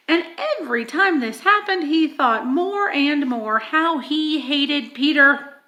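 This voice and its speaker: A woman reading a children's story aloud in an expressive, dramatic manner, with emphatic stresses and hushed moments. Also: high-pitched. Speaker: audiobook reader.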